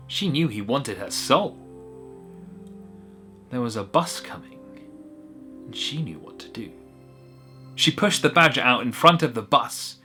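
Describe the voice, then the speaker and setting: A man's voice: medium pitch, bright, forceful tone. A man narrating a whimsical short story in a wry, conversational way with little asides.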